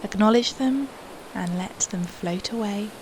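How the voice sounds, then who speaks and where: A woman softly leading a guided meditation in a soothing, unhurried manner, with breathy phrase endings and long pauses. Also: medium pitch, slight background noise, poor audio.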